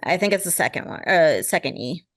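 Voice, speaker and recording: A woman's voice: sits low, lively intonation, brisk, no room sound, clean studio sound. A woman from Ohio in the United States, answering questions briefly and agreeably during a webinar reading. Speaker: webinar participant.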